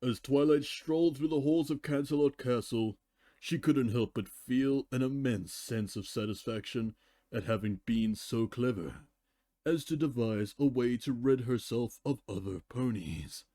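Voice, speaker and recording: A man's voice: medium pitch, measured pace, warm tone, dry recording, studio quality. A man giving a live dramatic reading of fan fiction as narrator. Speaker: story reader.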